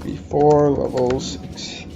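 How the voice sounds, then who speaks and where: A man's voice: a little high, level tone, slow, vocal fry, dull audio, music in the background. Rambling man with a Quebec-tinged accent. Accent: Quebec French-tinged English. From Alberta, Canada. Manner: rambling, hesitant, lots of uh fillers. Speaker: gamer. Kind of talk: gameplay commentary.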